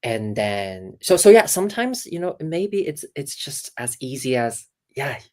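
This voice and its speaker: A woman coaching voices over a video call, her voice sitting very low yet swinging widely in pitch. She talks in an animated, hands-on way, full of fillers, restarts and quick demonstrations. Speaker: voice coach guest. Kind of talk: casual interview, teaching.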